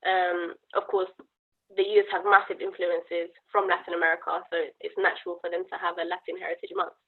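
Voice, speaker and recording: A woman's voice: on the low side, flat delivery, a little fast. A woman hosting a casual panel discussion over a video call. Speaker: podcast host. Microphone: remote video call. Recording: thin, phone-like video call audio.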